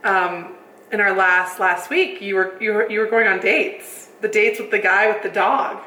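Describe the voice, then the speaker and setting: A young woman co-hosting a podcast, casual and chatty, her voice a little raspy. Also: medium pitch, fairly even intonation, normal speed, pushed and bright. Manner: chatty, laughs often. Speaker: podcast co-host. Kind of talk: casual chat. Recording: echoey room, faint hiss.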